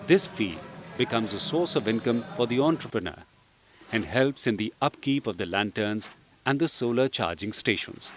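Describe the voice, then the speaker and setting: A man reading scripted instructional narration in a measured, explanatory way. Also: medium pitch, expressive. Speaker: training film narrator. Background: background music.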